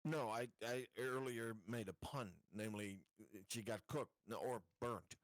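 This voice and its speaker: A man's voice: mid-range voice, steady tone, unhurried, no room sound, studio quality. A man chatting casually as a player in a tabletop game. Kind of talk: casual chat.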